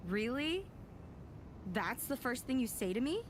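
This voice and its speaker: A woman's voice: sits high, big pitch swings, measured pace. A woman acting an exasperated, bossy guardian in an audio drama. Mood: annoyed. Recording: distant voice with noisy ambience.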